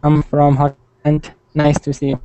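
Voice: medium pitch, completely flat delivery, unhurried, warm tone, soft background music. A student introducing himself on a video call that keeps cutting out, checking whether he can be heard. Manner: checking the connection.

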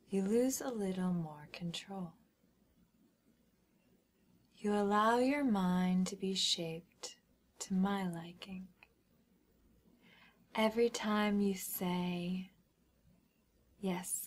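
Very slow whispering woman, commanding and hypnotic. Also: medium pitch, darkish tone. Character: smug, controlling young schoolgirl hypnotist. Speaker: ASMR role-play performer. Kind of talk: whispered ASMR hypnosis role-play.

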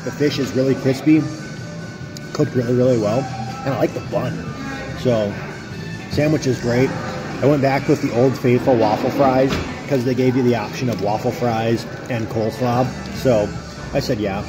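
A man's voice: medium pitch, steady tone, normal speed, over music, poor audio. Chatty guy reviewing pub food. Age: 30s. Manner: upbeat, chatty, rambling with fillers. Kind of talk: casual food review vlog. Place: booth in a pub restaurant. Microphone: phone held at arm's length.

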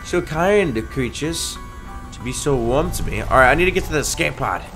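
A man with a congested, nasal voice chatting excitedly while playing a game. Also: on the high side, moderate pace. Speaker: gaming video host. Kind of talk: let's play commentary. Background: game music.

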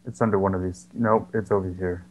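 A man's voice: low voice, steady tone, brisk, a little hiss or hum, poor audio. A young man chatting casually and sarcastically over a co-op horror game, swearing often. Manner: swears a lot, jokey and sarcastic. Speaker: gaming channel host. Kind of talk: casual co-op gameplay chat.

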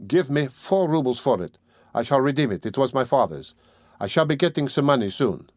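A man's voice: mid-range voice, big pitch swings, a little fast, no room sound, studio quality. A man voicing a poor, tense young man who talks in a terse, guarded, brooding way.

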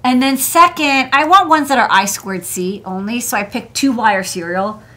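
A woman's voice: a little high, normal speed, pushed and bright. A woman in her thirties, an electronics engineer hosting a desk show, walking through parts in a casual, chatty way with many fillers and restarts, seated at her workshop desk. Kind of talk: casual technical walkthrough.

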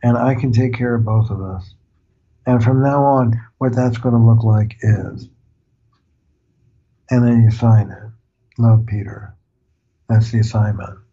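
Older male therapist guest, mellow, husky, earnest explainer, slightly dull audio. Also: medium pitch, fairly even intonation, measured pace, pauses often. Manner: earnest, explanatory, uses analogies. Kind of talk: reflective interview talk.

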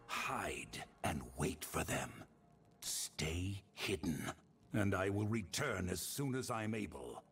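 Grim male monster slayer for a video game, gravelly, widely swooping delivery over clear music. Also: deep voice, lively intonation, slow, fairly bright tone, full character voice. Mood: urgent, firm. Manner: solemn, dramatic delivery. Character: grim, resolute male monster-slaying hero. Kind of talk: fantasy video game acting.

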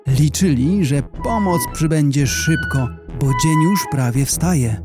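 Brief: male narrator for a children's story, slightly high voice, slow reading, music bed.

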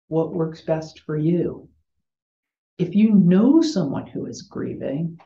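An older American woman speaks as a chaplain to children, gently and simply. Her voice is soft-edged and mellow, and she takes her time. The sound is roomy, like a computer mic in a home room. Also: low-pitched. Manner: gentle, simple explanatory phrasing. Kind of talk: chapel talk to schoolchildren. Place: small home room with bookshelves. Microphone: computer mic on video call. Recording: roomy, dull video-call sound.

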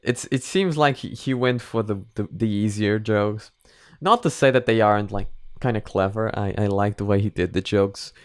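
A man's voice: lowish voice, normal speed, smooth, dry recording. A man in his twenties giving casual reaction commentary into a close microphone, hesitating and repeating words as he goes. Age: 20s. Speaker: reaction video host. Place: small home room, indoors. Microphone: close microphone on boom arm.

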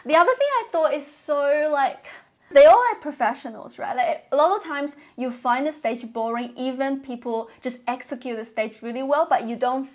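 A young woman giving casual, enthusiastic and expressive reaction commentary to camera, recorded indoors on a clip-on mic. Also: high voice, moderate pace. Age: young adult. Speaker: reaction vlogger. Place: bedroom, indoors. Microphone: clip-on lapel mic.

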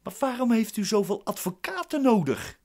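Suspicious, impatient man with animated delivery. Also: high voice, moderate pace, exaggerated voice. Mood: inquisitive. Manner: curt, questioning. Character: suspicious, impatient, commanding male defendant. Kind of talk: radio drama acting.

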